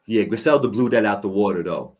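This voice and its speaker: A man's voice: fairly low pitch, brisk, muffled recording. A man hosting a casual talk show, encouraging and slangy, often checking in with his guest. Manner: encouraging, slangy, keeps saying "you know what I'm saying". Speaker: show host.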